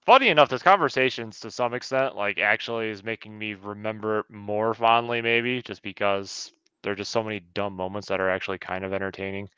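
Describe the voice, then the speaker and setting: A man's voice: fairly low pitch, fairly even intonation, fairly quick, hardly any pauses, bright, forceful tone, clear, resonant tone, no echo, lo-fi sound. A man co-hosting a podcast, chatting casually in a film review. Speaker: podcast co-host.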